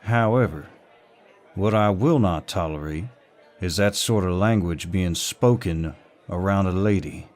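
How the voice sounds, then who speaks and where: A man playing a protective, dominant Southern gentleman in an audio roleplay, speaking politely but firmly with a Southern US drawl. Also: sits low, measured pace. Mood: calm, firm. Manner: polite, drawling, firm, old-fashioned courtesy. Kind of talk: roleplay audio acting, intimate and scripted.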